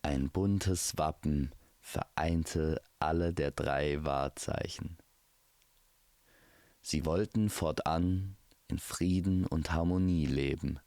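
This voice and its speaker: A man's voice: very deep voice, slow, a little mellow, no room sound. A man narrating a scripted fairy tale, speaking intimately to the listener as to a friend. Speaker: storyteller.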